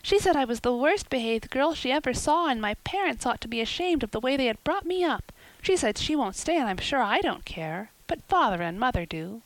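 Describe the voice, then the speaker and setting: An excitable young girl with a high, bouncing voice chatters with girlish emphasis, as a woman reader puts her on. The sound is close and dry. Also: a little fast, dry recording, low-quality recording. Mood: excited.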